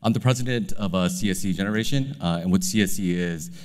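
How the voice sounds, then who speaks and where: A man with a raspy, hoarse voice from a cold, speaking on a conference panel before a live audience, halting and full of fillers. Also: sits low, long reverb tail. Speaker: panelist, company president.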